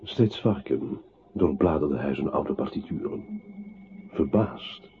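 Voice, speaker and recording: A man performing as narrator of a literary radio drama, telling the story in an expressive, dramatic way. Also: extremely low pitch, takes it slowly, full character voice. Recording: tinny band-limited audio with clear noise.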